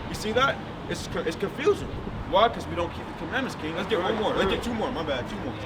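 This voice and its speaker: A man's voice: sits high, expressive, quick pace. A man preaching outdoors on a city street, emphatic and firing questions at his listener. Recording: distant mic with loud traffic noise.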